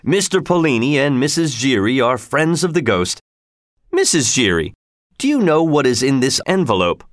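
A pompous, irritable opera manager, acted out by a male narrator. He talks with lively swings and accusing emphasis in a dry storybook reading. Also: mid-range voice, normal speed, dry recording, lightly acted.

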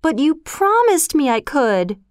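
A woman voicing an earnest, excitable, dreamy young girl in a storybook, gushing and breathless. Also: on the high side, normal speed, no echo, studio quality, pitched above the performer's own voice, close to an everyday voice. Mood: pleading. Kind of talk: storybook character voice.

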